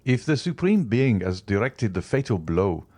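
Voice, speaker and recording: A man's voice: on the low side, very animated, normal speed, a little mellow. A man voicing an earnest, pious young husband. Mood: solemn.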